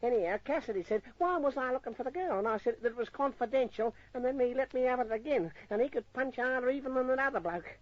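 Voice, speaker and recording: Whining, animated Australian sidekick. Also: male voice, very high voice, quick pace, few pauses. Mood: nervous bluster. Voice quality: rough working-class speech. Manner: whining, stammering excuses. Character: cowardly, rough, chatty small-time sidekick. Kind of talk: old-time radio crime acting. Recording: muffled old radio recording.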